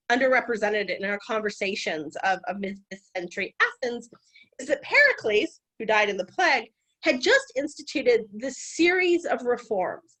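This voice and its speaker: Female scholar guest speaker, high voice with wide swings, animated public talk. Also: poor audio. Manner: animated, conversational, occasional restarts. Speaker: guest lecturer. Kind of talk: public talk on ancient history.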